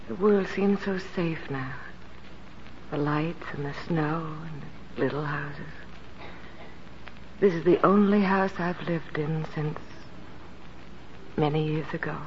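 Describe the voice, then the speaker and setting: A woman's voice: low voice, steady tone, takes it slowly, long pauses, slight background noise. An actress playing a frail, devoted woman pianist who is ill, speaking tenderly and wistfully in a 1940s radio drama. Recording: muffled 1940s radio recording, music behind.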